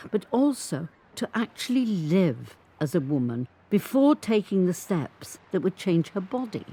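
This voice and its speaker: An actress playing a resolute grandmother in her eighties, recounting her life candidly in a measured, emphatic dramatic monologue. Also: on the low side, dark tone, slightly rough, full character voice. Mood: firm, candid. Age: 80s. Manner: measured, emphatic, reflective storytelling. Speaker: monologue performer. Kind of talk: dramatic first-person monologue narration. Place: recording studio. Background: music.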